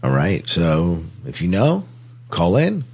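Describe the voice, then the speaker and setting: A man's voice: deep voice, wildly swooping pitch, slow pace, a little mellow, slightly rough. A man co-hosting a podcast in a casual, chatty style. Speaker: podcast co-host.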